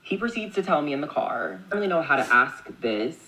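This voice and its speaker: A man's voice: fairly high pitch, big pitch swings, quick pace, pushed and bright, a little hiss or hum, poor audio. A man chatting candidly to camera, telling a dating story in a casual way. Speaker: clip speaker.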